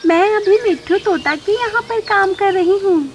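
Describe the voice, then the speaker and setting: A woman plays a young female cartoon bird, a hardworking maid with a very high voice. She speaks politely and earnestly, modest and dutiful, over faint music. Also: moderate pace. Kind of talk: Hindi children's cartoon story dialogue.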